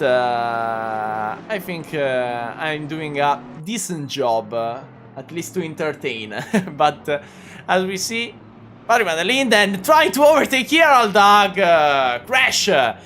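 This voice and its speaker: A man's voice: high voice, animated, bright, forceful tone, a little hiss or hum. A man with an Italian accent, whose first language is Italian, commentating a sim race casually, hesitant with many fillers. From Italy. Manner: halting, full of "uh" fillers and restarts; chatty, laughs often. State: injured shoulder. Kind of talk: live sim racing commentary.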